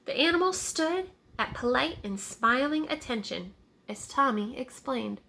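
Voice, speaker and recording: A woman's voice: high-pitched, expressive, a little slow, creaky, sharp tone. A woman teacher reading a picture book aloud to young children, checking in with questions as she narrates.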